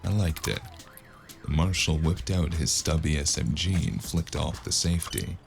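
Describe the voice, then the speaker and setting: A man with a gravelly voice narrating a cyberpunk noir audio drama in the first person, as a weary, wry gunman. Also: a bass voice, fairly even intonation, normal speed. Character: weary, wry male cyberpunk gunman telling his own story. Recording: music under the voice.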